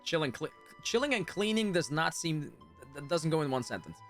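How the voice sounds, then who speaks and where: A man livestreaming a game, chatting casually with viewers and thinking out loud as he reacts. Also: fairly high pitch, big pitch swings, fairly quick, faint music behind. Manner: chatty, reads chat aloud, thinks out loud. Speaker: game streamer.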